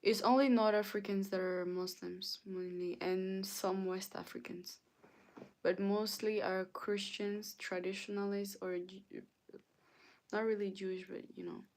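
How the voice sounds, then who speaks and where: A woman with as her hosting a casual livestream, answering viewers' comments and sometimes dropping to a soft whisper. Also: mid-range voice, level tone. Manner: slow, chatty, often drops to a soft whisper. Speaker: livestream host.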